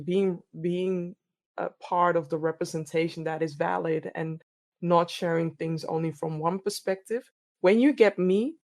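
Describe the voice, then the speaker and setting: A woman's voice: fairly low pitch, a little mellow. A middle-aged woman from the Netherlands, answering interview questions in an earnest, reflective way and often repeating words as she thinks. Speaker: podcast host and DEI coach.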